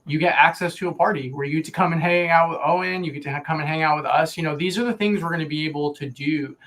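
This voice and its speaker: Guy co-hosting, kinda high voice, really into it, casual hype talk. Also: quick pace, fairly bright tone, rough recording. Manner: enthusiastic. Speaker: co-host and promoter. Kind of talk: casual promotional chat.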